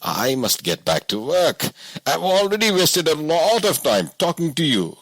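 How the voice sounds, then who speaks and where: A man's voice: on the high side, big pitch swings, unhurried, a bit bright, no room sound, pitched above the performer's own voice, full character voice. A man voicing a poor, hardworking woodcutter who speaks frankly and with wonder in an audiobook story. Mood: worried.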